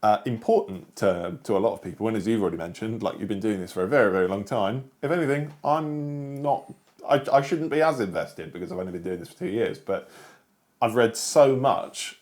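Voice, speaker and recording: Low-voiced man, animated chat. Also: brisk. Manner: animated, emphatic, trailing off into fillers. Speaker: co-host. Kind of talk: casual chat.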